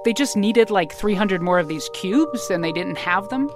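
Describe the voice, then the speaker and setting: A woman's voice: medium pitch, lively intonation, normal speed, over music. A woman hosting a podcast in a casual, playful, informal chat style. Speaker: podcast host.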